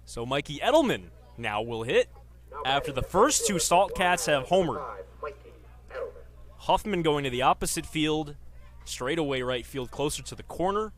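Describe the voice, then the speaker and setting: A man's voice: a little high, very animated, normal speed. A man in his twenties working as a sports announcer, delivering reporting and live baseball play-by-play commentary. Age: 20s.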